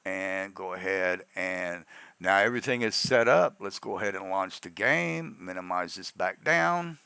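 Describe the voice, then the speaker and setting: A man with a gravelly voice narrates a software tutorial in an informal, rambling step-by-step way, often checking in with an "okay". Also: low-pitched, lively intonation, a little slow, dry recording. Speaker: tutorial host.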